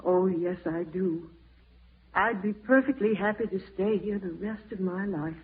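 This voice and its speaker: Earnest farm niece, muffled old radio. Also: female voice, medium pitch, a little slow, breathy edge, far from the mic. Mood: tender, dutiful. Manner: earnest, tender, snappish when crossed. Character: devoted, spirited farm niece, dutiful housekeeper. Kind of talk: 1940s radio drama acting.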